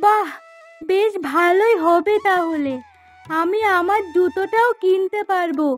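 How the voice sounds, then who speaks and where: A woman's voice: extremely high pitch, unhurried. A woman voicing a caring, anxious young village daughter of a fisherman in a cartoon moral story, earnest in manner. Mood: pleased. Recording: music behind the voice.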